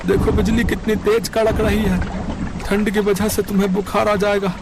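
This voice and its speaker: Man playing high-pitched anxious young husband. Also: level tone, moderate pace, heavy background noise.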